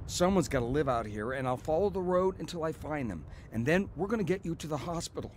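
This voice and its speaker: An actor playing an earnest, emotional brother telling of his ordeal in a radio drama, with halting delivery. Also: on the high side, animated, a little hiss or hum, close to an everyday voice. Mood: urgent, tender. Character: anguished, repentant brother narrating his blizzard ordeal. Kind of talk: radio drama acting and narration.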